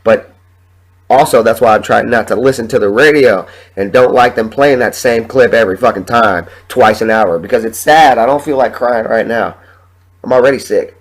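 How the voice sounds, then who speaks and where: A young man hosting his own podcast while sick with the flu, sniffling and talking in a halting, rambling, emotional way with plenty of swearing. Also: medium pitch. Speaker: podcast host. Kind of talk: solo podcast monologue.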